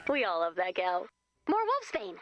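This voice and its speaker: A woman's voice: medium pitch, wildly swooping pitch, moderate pace, dull audio, soft background music. A woman voicing a perky, sassy robot assistant with sarcastic, quippy delivery in a video game. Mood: upbeat. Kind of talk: video game character voice lines.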